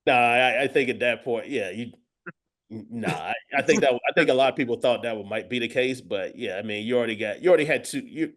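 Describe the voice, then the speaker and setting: A man's voice: medium pitch, fast, a bit bright. A man giving casual football analysis as a guest, emphatic, often repeating and rephrasing as he goes. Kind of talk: casual sports talk with analysis.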